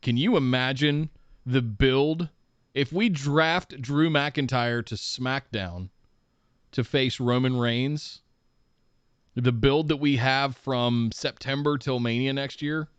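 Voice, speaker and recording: A man co-hosting a casual podcast, speaking in a measured, speculative way. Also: mid-range voice, smooth, dry recording. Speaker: podcast co-host. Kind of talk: casual wrestling podcast chat. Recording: muffled, dry remote podcast audio.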